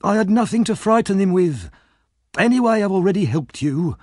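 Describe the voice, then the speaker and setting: A scheming old crook played by a male narrator, pitched up and swooping in tone. He coaxes and excuses himself like a storybook villain running a gang. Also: expressive, moderate pace, darkish tone, no room sound, clean studio sound. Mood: self-justifying. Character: scheming older male gang boss, coaxing and self-excusing.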